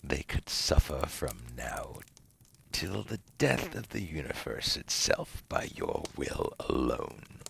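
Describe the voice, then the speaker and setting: A man playing a seductive, cunning devil in an ASMR roleplay, whispering breathily and gravelly in a theatrical, teasing way. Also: a bass voice, wildly swooping pitch, slow, a bit bright, slight background noise, exaggerated voice. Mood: darkly menacing. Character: seductive, cunning otherworldly male devil offering tempting deals.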